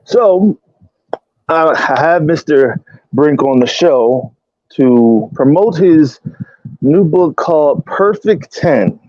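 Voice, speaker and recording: A man hosting a talk show over a video call, greeting with hyped street-style shout-outs and introducing his guest. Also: a little high, expressive, slow pace, dry recording, dull audio. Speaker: podcast host. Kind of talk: casual interview chat.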